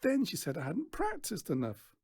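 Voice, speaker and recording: A narrator acting a scornful, high-pitched sulky daughter. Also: wildly swooping pitch, moderate pace, vocal fry, breathy edge, no echo, clean studio sound, heavily acted. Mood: bitter, snapping. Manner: snappy, scornful, yelling. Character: bitter, sulky, explosive teenage daughter of a showman.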